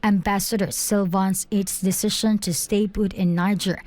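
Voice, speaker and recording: A woman's voice: medium pitch, level tone, normal speed, darkish tone. A woman reading a scripted news report in the role of reporter.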